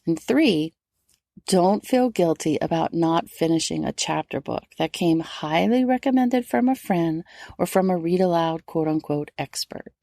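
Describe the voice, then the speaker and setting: Mellow, creaky-voiced woman hosting a podcast. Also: fairly low pitch, expressive, measured pace, dry recording, clean studio sound. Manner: instructive, addressing listeners directly. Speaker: homeschool podcast host. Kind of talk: solo podcast advice talk.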